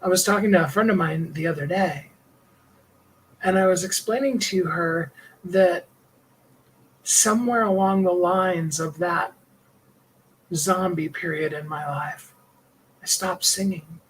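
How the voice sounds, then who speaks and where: A middle-aged man with a higher tenor voice, hosting a livestream and talking in a rambling, heartfelt way with small laughs. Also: unhurried, long pauses, slight background noise, rough recording. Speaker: livestream host and singer. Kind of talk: reflective personal livestream talk.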